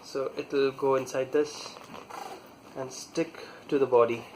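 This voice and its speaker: A man gives a casual hands-on demonstration as a product reviewer. His pace is slow and halting, with pauses mid-sentence while he works. His voice is medium in pitch, with noise audible behind it. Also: fairly even intonation, darkish tone, low-quality recording. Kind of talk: casual hands-on tutorial talk.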